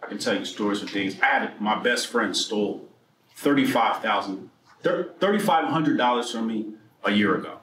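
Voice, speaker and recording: A man from New Jersey tells personal stories to a room, animated and profane, his voice jumping widely in pitch. He drops to quiet asides, then bursts loud again. The sound bounces around an echoey event room with faint background ambience. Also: normal speed, a little hiss or hum. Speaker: speaker at a political mixer. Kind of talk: personal storytelling speech.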